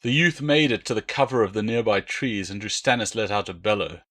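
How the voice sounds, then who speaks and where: A man's voice: lowish voice, fairly quick, a bit bright. A man reading his own novel as a dramatic, scripted audiobook narration.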